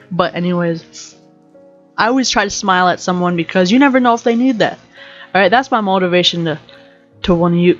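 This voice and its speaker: Young woman host, deep voice, rambling jokey rant, lo-fi home recording. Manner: rambling, joking, self-interrupting. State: slightly sick with allergies. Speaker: podcast host. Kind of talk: casual motivational rant.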